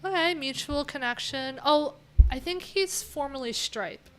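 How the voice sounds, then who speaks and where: A woman's voice: sits high, measured pace, fairly bright tone, low-quality recording. A middle-aged woman chatting casually on a livestream, candid and rambling as she thinks aloud. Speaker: livestream host, developer. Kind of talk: casual livestream chat while browsing. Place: small cluttered room indoors. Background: music.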